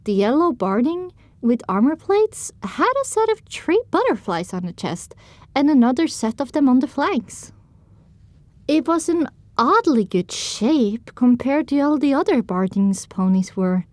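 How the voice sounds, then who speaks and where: A woman's voice: high-pitched, big pitch swings, measured pace, darkish tone, no room sound. A woman narrating an audio drama in the first person, reading scripted storytelling prose.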